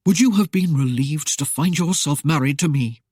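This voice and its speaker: A woman's voice: low-pitched, moderate pace, darkish tone, husky, no echo, clean studio sound. A woman voicing a self-assured nobleman who speaks in a formal, leisurely drawl. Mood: earnest.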